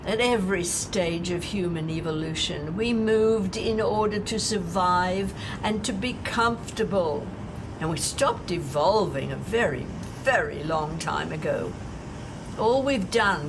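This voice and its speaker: A woman playing a bitter, sorrowful woman left on a doomed Earth, in a deliberate, reproachful dramatic monologue. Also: on the low side. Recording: clearly noisy recording.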